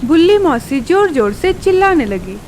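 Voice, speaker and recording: Female storyteller, high voice, scripted children's narration, faint ambience with birdsong. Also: very animated, moderate pace, darkish tone, a little hiss or hum.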